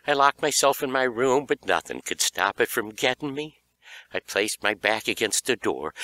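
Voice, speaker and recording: A man reading a young horror story as an audiobook narrator, with an animated, dramatic delivery. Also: mid-range voice, dry recording.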